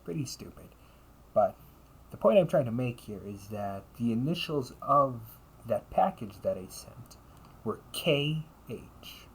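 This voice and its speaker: A man playing an earnest, weary time-traveling older brother turned villain in an amateur audio roleplay, speaking haltingly and often dropping to a breathy near-whisper. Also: medium pitch, lively intonation. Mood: explaining, halting. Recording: noisy amateur home recording.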